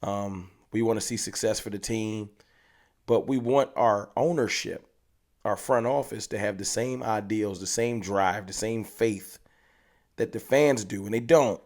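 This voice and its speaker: A man's voice: on the low side, a little slow, darkish tone. A man hosting a fan channel, ranting passionately to camera in casual speech and hammering his points home with repetition. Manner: passionate, emphatic, repeats points. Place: room with hats on the wall behind him.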